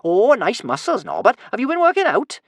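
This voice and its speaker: A man's voice: extremely high pitch, very animated, brisk, creaky, dry recording, clean studio sound, voice pushed up, exaggerated voice. A man voicing a cheeky, taunting young Viking girl warrior in a children's storybook, with teasing, sing-song mockery. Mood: mocking, teasing.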